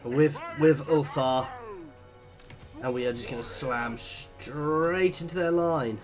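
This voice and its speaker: A man's voice: on the high side, slow, soft background music. Congested man narrating gameplay. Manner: chatty, thinking aloud as he plays. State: congested from a cold. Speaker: let's play host. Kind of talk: strategy game commentary.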